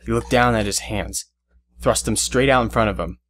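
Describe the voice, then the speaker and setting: Low-voiced male story narrator. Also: moderate pace, a bit bright, slight background noise. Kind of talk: scripted dramatic story narration.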